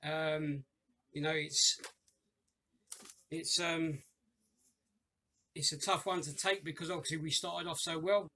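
A man's voice: fairly high pitch, flat delivery, takes it slowly, pauses often, sharp tone. A man in his thirties hosting a fan channel, talking through a football match review at home, rambling with many fillers and restarts and calling his viewers ladies and gents. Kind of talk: after-match review monologue. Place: small room at home, indoors.

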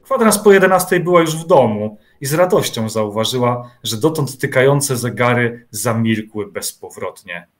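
A man's voice: medium pitch, lively intonation, moderate pace, few pauses. Man narrating a literary audio drama.

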